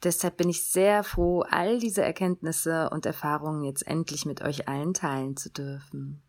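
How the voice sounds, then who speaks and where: Earnest German woman podcaster, lowish voice. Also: steady tone, moderate pace, darkish tone. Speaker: podcast host. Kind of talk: solo podcast introduction.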